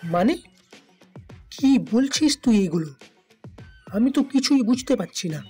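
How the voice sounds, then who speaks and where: High-pitched flustered young man acted out. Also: lively intonation, a little slow, mellow, faint music behind. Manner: flustered, stammering. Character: nervous, lovestruck young man pleading with his elders. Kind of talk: Bengali audio story acting.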